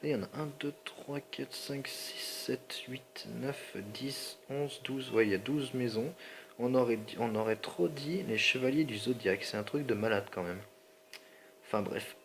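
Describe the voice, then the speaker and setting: A man's voice: mid-range voice, moderate pace, slight background noise, rough recording. A man with a slightly sore throat and a stuffy nose, rambling in slangy, apologetic commentary over his gameplay. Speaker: gaming channel host.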